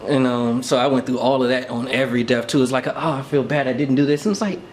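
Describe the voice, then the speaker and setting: A man's voice: medium pitch, fast, background noise. A man talking casually and reflectively as a podcast co-host, thinking aloud in an informal way.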